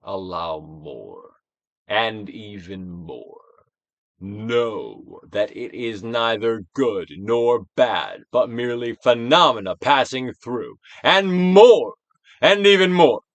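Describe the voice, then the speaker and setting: A man giving a theatrical, Shakespearean-style dramatic reading of a self-help book, with deliberate pauses. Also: on the low side, expressive, no room sound. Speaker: audiobook reader, actor.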